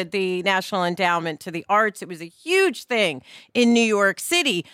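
A woman with a bright, slightly high voice co-hosts a casual discussion podcast. She talks in an emphatic, personal and enthusiastic way. The sound is very dry, with little room in it. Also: no room sound. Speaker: podcast co-host.

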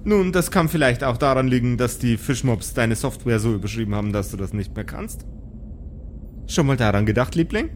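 A man's voice: medium pitch, expressive, fairly quick, slight background noise, natural voice. A man playing a spaceship's computer, formal and hesitant with a teasing streak. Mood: sarcastic. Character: spaceship computer, polite but snarky and teasing.

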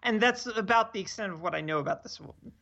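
A woman's voice: mid-range voice, fast, a bit bright, muffled recording. A woman in a casual TV discussion, talking in a hesitant, searching way with dry opinions. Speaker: podcast co-host.

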